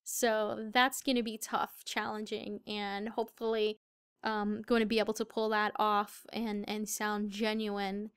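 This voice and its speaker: A woman's voice: mid-range voice, flat delivery, measured pace, fairly bright tone, clear, resonant tone, no echo, clean studio sound. A very young-sounding woman with an American accent, answering interview questions as a voice actor. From Southern United States.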